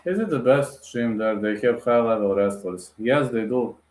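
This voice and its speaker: A man talks straight to his livestream viewers, calling them guys and answering their comments bluntly in English. His voice sits at an ordinary middle pitch, and a roomy echo hangs around every line. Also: moderate pace, darkish tone. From Bulgaria. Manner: direct, blunt, addresses viewers as guys, non-native phrasing. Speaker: livestream host.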